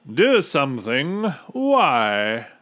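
A man's voice: medium pitch, wildly swooping pitch, very slow, a bit bright, pitched above the performer's own voice, heavily acted. A man voicing a smug, jolly male captain of the guards in a storybook, boastful and dismissive. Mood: amused, dismissive. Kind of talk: storybook character reading.

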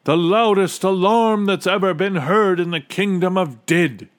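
A man voicing an excitable, blustering male royal trumpeter in a storybook, given to loud exclamations and wailing. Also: a little high, slow pace, voice pushed up. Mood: indignant. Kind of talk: storybook character reading.

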